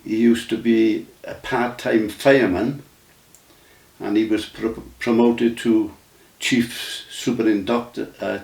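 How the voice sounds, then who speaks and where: A man, recalling his memories in a casual, halting way with many restarts. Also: fairly low pitch, steady tone, gravelly, a little mellow. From Wales. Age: 90s. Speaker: interview guest. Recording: roomy sound from a distant mic.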